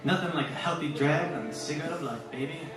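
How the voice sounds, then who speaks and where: A man's voice: a little high, fast talker, over loud music, lightly acted. Man playing echoey flirtatious radio villain. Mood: flirtatious, suave. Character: flirtatious, smooth-talking male villain in detective spoof. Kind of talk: parody old-time radio detective acting. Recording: echoey, distant, with crowd noise.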